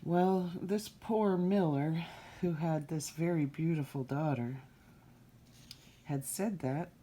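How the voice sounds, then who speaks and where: A woman storyteller reads a fairy tale aloud. Her voice is low, soft-edged and mellow. She takes her time and tells it expressively, as at storytime. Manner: unhurried, expressive storytime delivery.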